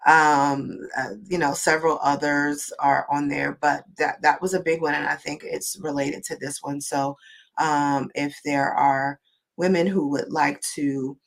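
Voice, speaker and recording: A woman guest on a video call, sounding wheezy and hoarse, talking hesitantly with many pauses and ums. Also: low voice, little pitch movement, low-quality recording. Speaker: guest speaker. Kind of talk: casual online interview.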